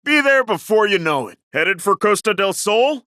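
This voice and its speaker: An eager, easygoing driver pitches rides in breezy game dialogue. His voice is bright and a bit high, with bouncy intonation and a dry studio sound. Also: big pitch swings, moderate pace, dry recording, exaggerated voice. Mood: upbeat, helpful. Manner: breezy, obliging. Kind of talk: video game character dialogue.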